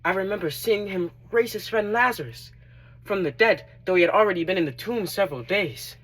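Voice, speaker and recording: A man performing a dramatic monologue as a repentant tax collector turned devoted disciple, earnest and reflective, with deliberate emphatic swells. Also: high voice, fairly bright tone, voice pushed up, rough recording. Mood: awed. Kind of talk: dramatic monologue, first-person testimony.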